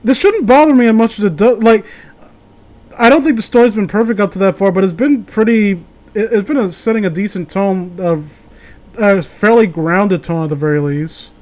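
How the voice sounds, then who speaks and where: High-pitched man, rambling and profane. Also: moderate pace, a little mellow. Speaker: host reading and mocking amateur horror stories. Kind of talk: casual commentary mixed with story reading.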